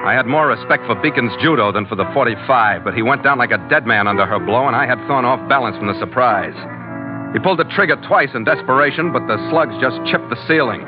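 An actor playing a wry, tough sea captain who narrates and stars in an old-time radio adventure with sardonic, terse delivery. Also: male voice, medium pitch, fast talker, natural voice. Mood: tense, wry narration. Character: wry, tough, hard-boiled male sea captain hero. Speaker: narrating lead of an adventure serial. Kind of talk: 1940s-style radio drama acting and narration. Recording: tinny vintage radio recording with music.

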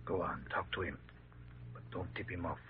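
A cold, menacing gunman in an old radio crime play. He gives curt orders in a low, husky voice, on a thin, muffled vintage recording. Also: steady tone, normal speed. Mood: hushed, urgent. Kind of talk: old-time radio acting.

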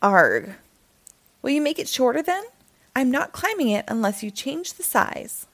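A cheeky young boy from a storybook, acted by a woman. The voice is high and swoops about with whiny, sarcastic protest. It sounds reluctant and full of back-talk, recorded close and dry. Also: big pitch swings, measured pace, a bit bright, no room sound, full character voice. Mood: whiny, complaining. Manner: complaining, exclamatory back-talk. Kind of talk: children's storybook read-aloud.

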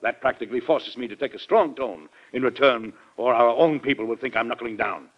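A man's voice: medium pitch, a little fast, slightly rough, natural voice. An actor playing a stern, exasperated middle-aged American president and father in an old-time radio drama, formal and emphatic. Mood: stern, sarcastic. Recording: dull old radio broadcast recording.

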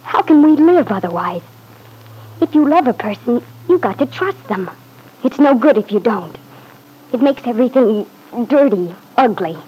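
Anxious, devoted young radio wife, high-voiced. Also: female voice, animated, moderate pace, lo-fi sound. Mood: earnest pleading. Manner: earnest, pleading. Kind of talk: old-time radio drama acting. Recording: husky-sounding vintage radio recording.

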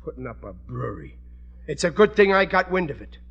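An actor playing a naive, self-important American working-class husband in an old radio comedy, blustery, folksy and ungrammatical. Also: male voice, fairly high pitch, big pitch swings, fairly quick, creaky, a bit bright, dull audio, heavily acted. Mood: indignant. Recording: vintage radio recording with studio audience.

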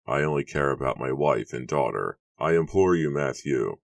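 Man as a very deep, trembling, desperate older man. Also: normal speed, no room sound. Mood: anguished. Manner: trembling, pleading, emotional. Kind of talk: dramatic audiobook character voice.

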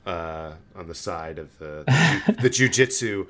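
A man with a low-pitched voice hosts a casual sports podcast. He talks in a loose, conversational way, feeling his way through sentences with frequent halts. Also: animated, measured pace, a bit bright. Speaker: podcast host.

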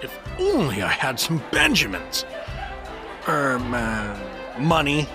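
A man's voice: medium pitch, huge pitch swings, takes it slowly, over music, exaggerated voice. A man playing a goofy, boastful, drunken adventurer in a fantasy audio drama, slurring and muddling his words. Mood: giddy, drunk.

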